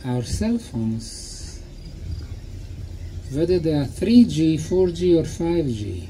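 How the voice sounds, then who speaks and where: A man lecturing in short, emphatic phrases, pausing for an interpreter, with a deliberate, didactic manner. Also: fairly high pitch, very animated, dark tone, a little hiss or hum. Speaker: lecturer and guide.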